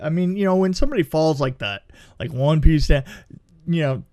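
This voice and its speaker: A man co-hosting a podcast, chatting casually about films in an exclamatory, halting way. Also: fairly high pitch, warm tone, no room sound. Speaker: podcast co-host reviewing horror films. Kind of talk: casual film review chat.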